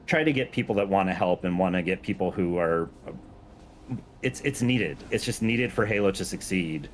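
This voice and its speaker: A man's voice: low-pitched, lively intonation, normal speed, slight background noise. A man talking casually and earnestly on a gaming stream as a guest, informal and self-effacing. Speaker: guest, game mod creator.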